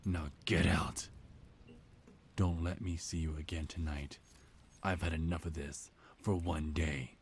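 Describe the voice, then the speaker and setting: Man acting a deep-voiced, bitter vampire. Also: steady tone, unhurried, very noisy. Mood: menacing, angry. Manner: bitter, menacing, contemptuous. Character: hostile, resentful male vampire of a mansion household. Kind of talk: ASMR roleplay acting.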